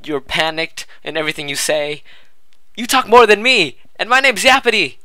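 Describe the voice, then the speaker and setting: A man's voice: high-pitched, animated, moderate pace, pushed and bright, no room sound. A man co-hosting a casual podcast, talkative and emphatic, drawing out his show intro. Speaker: podcast co-host.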